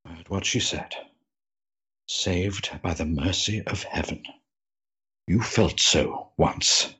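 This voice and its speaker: Man playing a solemn, slow, husky clergyman. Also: deep voice, sharp tone, dull audio, heavily acted. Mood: grave, imploring. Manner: grave, halting, imploring. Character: earnest, pious, solemn clergyman pleading for a soul. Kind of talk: period audio drama acting.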